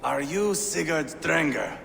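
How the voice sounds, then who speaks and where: Savage Viking prince, game role: menacing, mocking, gravelly, drawn-out delivery over noisy ambience. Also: male voice, on the high side, animated, fairly bright tone, in a large hall, heavily acted. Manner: menacing, taunting, volatile. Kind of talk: video game acting.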